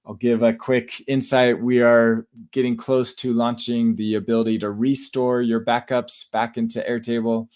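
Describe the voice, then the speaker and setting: A man hosting a tech podcast, giving upbeat shout-outs in a relaxed, casual way with fillers. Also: medium pitch, moderate pace, hardly any pauses. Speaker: podcast host.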